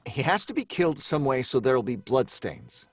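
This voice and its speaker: An eccentric writer in an old-time radio mystery, recorded dry at a middling pitch. He stammers and hesitates, sounding nervous, worn down and quick to belittle himself. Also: big pitch swings, slightly rough, dry recording. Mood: hesitant, explaining. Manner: hesitant, stammering, self-deprecating. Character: nervous, weary, eccentric male mystery novelist.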